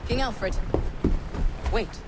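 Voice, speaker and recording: An actress playing a cunning, zealous medieval paladin in a video game, deliberate and formal. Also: female voice, very noisy. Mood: calculating, urgent. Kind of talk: dramatic video game acting.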